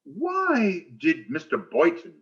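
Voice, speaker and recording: An actor playing a flustered, fawning school principal who stammers and blusters, in 1940s radio sitcom style. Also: male voice, high voice, huge pitch swings, slow pace, fairly bright tone, muffled recording, full character voice.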